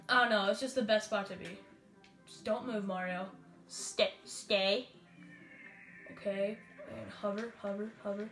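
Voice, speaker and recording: A woman giving rambling, casual commentary while playing a video game, with excitable bursts. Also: mid-range voice. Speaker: let's play gamer. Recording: roomy echo with game music.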